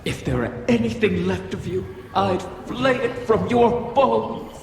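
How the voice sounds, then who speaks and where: A performer voicing an ancient, cunning female dragon that grants wishes, speaking with slow, measured menace in a layered, otherworldly voice for a game cutscene. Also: mid-range voice, slight background noise, close to an everyday voice. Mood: contemptuous fury. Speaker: video game character. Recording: echoing cutscene with loud score.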